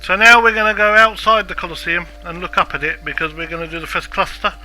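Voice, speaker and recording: A man's voice: high voice, steady tone, quick pace, sharp tone, soft background music, low-quality recording. A man casually commenting on a game walkthrough, chatty and self-deprecating. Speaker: gameplay walkthrough narrator.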